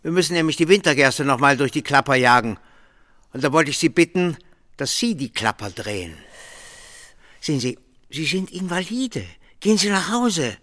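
An actor playing a blustering, bullying small-town merchant boss, gruff and sarcastic, in a German radio drama. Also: male voice, fairly high pitch, sing-song, moderate pace, fairly bright tone. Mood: contemptuous.

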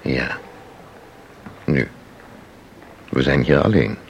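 A man's voice: a bass voice, lively intonation, drawn-out words, vocal fry, a little hiss or hum, heavily acted, poor audio. An actor playing an intense, mysterious man in a radio drama, speaking with insistent, theatrical deliberation. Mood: persuasive. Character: intense, mysterious man who claims to enter paintings. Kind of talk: Dutch radio drama acting.

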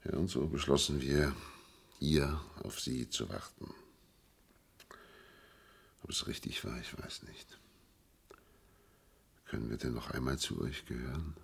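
A weary old man living in exile, with an extremely low, husky voice full of gravel. He explains things solemnly and with regret, in the manner of a radio drama. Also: a little slow, pauses often, full character voice. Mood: regretful, unsure. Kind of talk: German radio play acting.

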